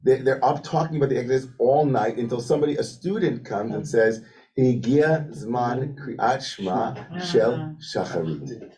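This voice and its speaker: A man leading a lively study discussion as rabbi, thinking aloud with many restarts and "like" fillers. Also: mid-range voice, unhurried, a crowd in the background, poor audio. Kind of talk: animated teaching discussion. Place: synagogue room with a Zoom link.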